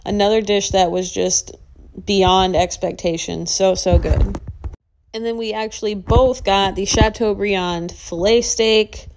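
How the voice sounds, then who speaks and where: A woman's voice: medium pitch, fairly even intonation, measured pace, a little mellow, slight background noise, poor audio. A woman vlogger narrating a casual voice-over with gushing enthusiasm. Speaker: vlog host.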